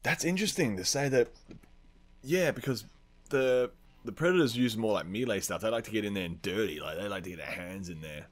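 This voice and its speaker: A man's voice: on the low side, lively intonation, fairly quick. A man hosting a podcast, chatting casually and arguing his points in a blunt, sweary way. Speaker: podcast host. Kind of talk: casual chat, debating film characters.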